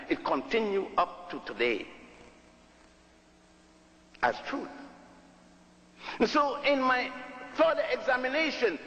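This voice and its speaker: A man's voice: high voice, fairly bright tone. An older man from Puerto Rico giving an emphatic, storytelling public lecture in a hall, repeating words for stress. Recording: echoey hall.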